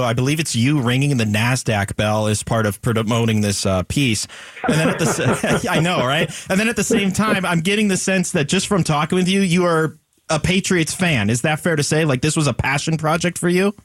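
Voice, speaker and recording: A man's voice: on the high side, a little fast, no room sound. A man co-hosting a radio interview who asks friendly, curious questions.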